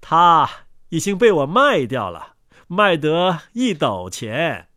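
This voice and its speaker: Man as sly peasant trickster, quick-witted, storybook tale, lively swooping delivery, dry sound. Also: on the high side, no echo, exaggerated voice. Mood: smug.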